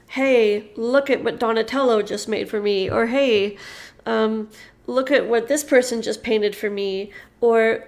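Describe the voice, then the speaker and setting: A woman hosting an interview, enthusiastic and quick to laugh as she asks her questions. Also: a little high. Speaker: host. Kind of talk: interview host.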